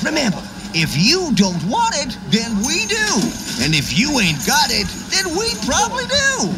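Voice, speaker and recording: High, swooping junkyard owner. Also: male voice, huge pitch swings, measured pace, heavily acted. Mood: jovial sales pitch. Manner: drawling, exaggerated, folksy. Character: folksy eager junkyard owner from the American countryside. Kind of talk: hammy radio commercial. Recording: loud music behind the voice.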